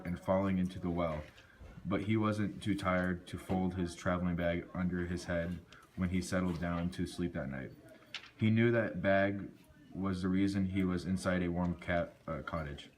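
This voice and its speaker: A man's voice: sits low, steady tone, moderate pace. A man reading scripted storybook narration for an amateur puppet show. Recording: noisy amateur recording with clicks.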